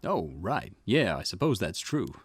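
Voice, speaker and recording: A man's voice: sits low, very animated, measured pace, creaky, breathy edge, no echo, voice pushed down, heavily acted. A man voicing an eager, earnest and inquisitive junior detective in a visual novel, deferring to a boss. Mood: deferential, curious. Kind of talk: reading visual novel dialogue in character.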